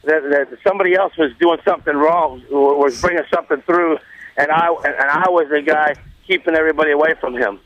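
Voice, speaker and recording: A man telling a rambling casual anecdote on a radio talk show, halting and repeating himself, with plain folksy grammar. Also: on the high side, dry recording, dull audio.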